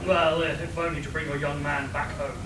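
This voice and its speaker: Man acting a pompous gentleman adventurer, echoey hall. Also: on the high side, flat delivery, normal speed, close to an everyday voice. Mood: self-assured. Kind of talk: live comedic radio play acting. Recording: echoey hall, far mic, noisy.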